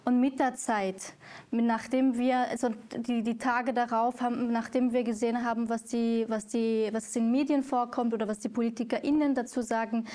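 A woman from Syria, whose first language is Kurdish, answers slowly in a dark, mellow voice. Her pitch sits a little high but barely moves, and she often breaks off and starts her sentences again. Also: flat delivery. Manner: hesitant, restarts often, many fillers. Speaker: guest, author. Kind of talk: interview answers.

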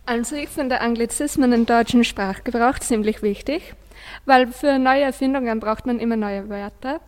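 A woman's voice: on the high side, moderate pace, darkish tone. A female teenage student, giving her view in a radio discussion. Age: teens. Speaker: student radio presenter and panelist. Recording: long hall-like reverb.

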